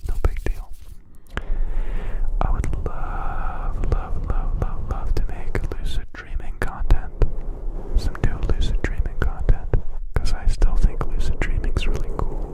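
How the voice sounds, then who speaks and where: Playful whispering man, Polish native speaker. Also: low-quality recording. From Canada. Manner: whispering throughout; playful, repeats words softly for effect. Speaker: ASMR live streamer. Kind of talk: whispered live-stream chat.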